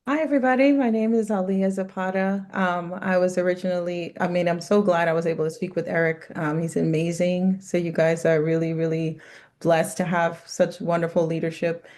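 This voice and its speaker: A woman from Guyana raised in Brooklyn, introducing herself as a guest on a video call, friendly with many pauses. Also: fairly low pitch, flat delivery, darkish tone. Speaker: guest, leasing specialist.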